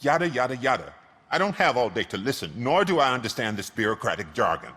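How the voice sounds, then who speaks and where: An actor playing a shifty, grumbling car repair shop owner in a video game, raspy, wry and defensive. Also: male voice, mid-range voice, big pitch swings, fast talker, fairly bright tone. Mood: irritated, defensive. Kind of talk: video game cutscene acting. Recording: long hall-like reverb.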